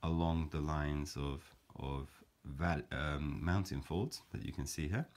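A man calmly explaining a paper craft step by step, in a gentle, measured way with frequent fillers. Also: rumbling low. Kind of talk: calm step-by-step craft tutorial.

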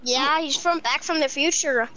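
A woman's voice: high-pitched, normal speed, sharp tone, a little hiss or hum, poor audio. A female player chatting casually in improvised game roleplay, on a home gaming mic.

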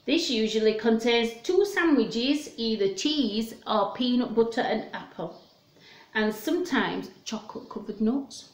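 A roomy home recording of a woman. Her voice is fairly high and she takes her time, speaking very slowly. She presents a story to young children in simple words, putting questions to them as she reads. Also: lively intonation. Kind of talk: reading a picture book aloud to children. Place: small room at home, indoors.

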